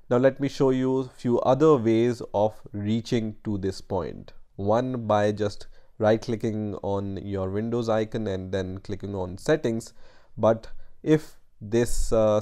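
A man narrating a step-by-step computer tutorial over a screen recording, explaining plainly with frequent pauses and "right?" checks. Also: on the low side, mellow. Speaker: tutorial host.

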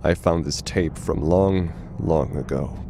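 Super deep creaky creature voice, halting, audio drama, bit of noise behind. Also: male voice, mellow, full character voice. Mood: grave. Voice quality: gravelly, very deep. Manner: halting, slow delivery.

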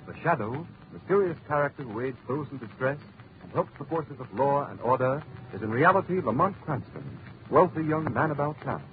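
A man's voice: mid-range voice, expressive, a little fast. A male radio announcer giving a dramatic, declamatory scripted introduction. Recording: tinny old radio broadcast with music.

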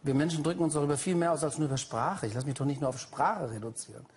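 A man's voice: on the high side, fast talker, a little mellow. A middle-aged man, a talk show guest, arguing insistently. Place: TV studio with audience.

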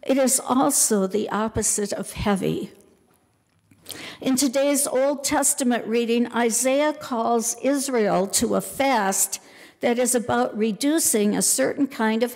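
An older woman pastor giving a sermon in a church, speaking in a measured way and pausing on lists. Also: a little high, a little mellow, long reverb tail. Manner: slow, measured preaching with deliberate lists. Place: church sanctuary.

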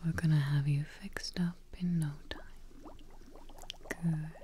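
A woman in her twenties whispering softly and reassuringly in an ASMR roleplay as a kindly witch, close to the mic. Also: low voice, fairly even intonation, a little hiss or hum. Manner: hushed, slow, reassuring. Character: kindly witch receptionist brewing a healing potion.

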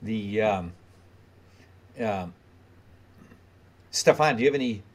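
Male podcast host, low voice, meandering questions, video call. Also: animated. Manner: halting, rambling question phrasing. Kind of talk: interview questions.

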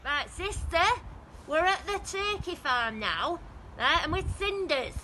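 A brash panto stepsister, played by a woman, barks orders in a broad put-on accent. Her high, bright voice jumps about with lots of bounce. A faint hiss sits behind her. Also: animated, unhurried, full character voice, rough recording. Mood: scheming. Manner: bossy, loud panto delivery with a put-on accent. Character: brash ugly stepsister, woman, bossy and gleeful. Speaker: school senior leader in a pantomime.

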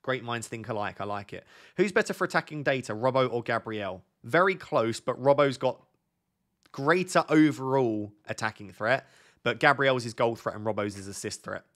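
Hoarse man streaming fantasy football. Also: mid-range voice, lively intonation. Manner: thinks aloud, reads questions from chat, talks quieter and slower. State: losing his voice, sore throat. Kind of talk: live stream chat answering viewers. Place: indoor home studio at a desk.

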